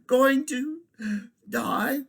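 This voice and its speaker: A man reading aloud, voicing a miserable, excitable young boy who tumbles over his words. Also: on the high side, moderate pace, husky, voice pushed up, full character voice. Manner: tumbling, breathless restarts.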